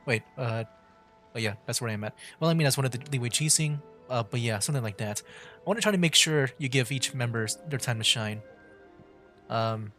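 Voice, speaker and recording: A man giving casual livestream game commentary in a rambling, halting, easygoing way. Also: medium pitch, fairly bright tone. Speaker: streamer.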